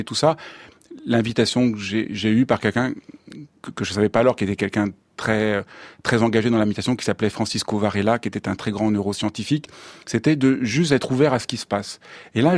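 A man's voice: lowish voice, dry recording. A man being interviewed on radio, speaking thoughtfully about his life with many restarts. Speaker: guest, meditation teacher. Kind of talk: radio interview, reflective talk. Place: radio studio.

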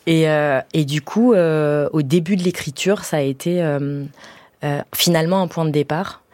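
A woman answering as a guest in a reflective radio interview, with halting, searching phrasing. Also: low voice, a little mellow, no room sound. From France, of Iranian family. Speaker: guest, playwright and stage director.

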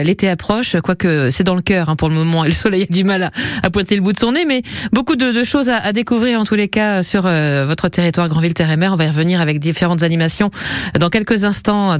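A woman's voice: on the low side, no echo. A woman with a French accent from France, hosting a conversational radio interview from a studio. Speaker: radio host.

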